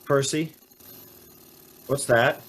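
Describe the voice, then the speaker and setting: A man's voice: mid-range voice, fairly even intonation, very slow, fairly bright tone, breathy edge, exaggerated voice. An actor playing a naive young man in an old-time radio drama, full of wonder and hesitant questions. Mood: startled. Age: young adult. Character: naive, awestruck young male guest and narrator.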